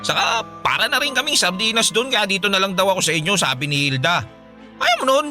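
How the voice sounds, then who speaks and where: A man acting a cocky, smooth-talking boyfriend in a Filipino radio drama, playful and ingratiating. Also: high voice, sing-song, fast, pushed and bright, soft background music, exaggerated voice. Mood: smug, casual.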